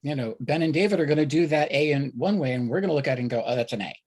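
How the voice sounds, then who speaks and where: A woman's voice: low-pitched, racing, a little mellow, breathy edge. A woman hosting a casual interview in a conversational way. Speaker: host.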